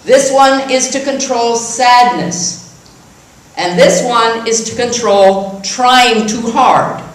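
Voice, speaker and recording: Slow, halting woman in a cavernous hall. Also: fairly high pitch. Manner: halting, self-correcting. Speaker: congregant. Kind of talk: explaining a technique. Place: church sanctuary. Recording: very echoey hall, voice from far off.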